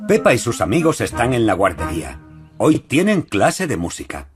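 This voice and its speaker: A man's voice: medium pitch, wildly swooping pitch, moderate pace, loud music behind the voice. A man acting as a friendly storyteller, narrating a preschool cartoon with a Castilian Spanish accent. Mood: cheerful narrating. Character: friendly male storyteller narrating for small children.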